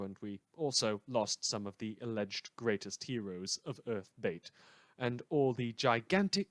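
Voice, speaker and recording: A man playing a gleeful, sardonic villain who hosts a radio news show, delivering a scripted monologue with dramatic pauses and sarcasm. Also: a little high, dry recording, studio quality.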